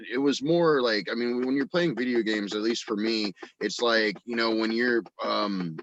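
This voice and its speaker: A man from the United States with a deep, bright-edged voice talks casually as a guest. He rambles and often stops to find his words. The recording is very dry and close, without room sound. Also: no echo, lo-fi sound. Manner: halting, rambling, frequent verbal hedging. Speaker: guest, audio drama actor and writer. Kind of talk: casual interview chat.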